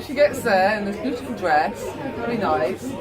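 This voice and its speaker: Middle-aged mum amid loud chatter. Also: female voice, mid-range voice, animated, moderate pace. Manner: sweary, acts out dialogue. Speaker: mother telling family stories. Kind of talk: casual animated storytelling. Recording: busy public room chatter.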